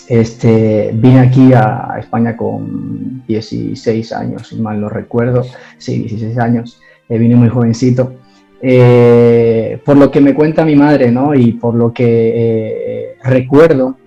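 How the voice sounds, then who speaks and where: Guy telling his church testimony, gravelly low voice, lots of ums and restarts, dull lo-fi sound. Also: warm tone. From Ecuador. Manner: hesitant, many fillers and restarts. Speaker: guest giving testimony. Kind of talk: personal testimony interview.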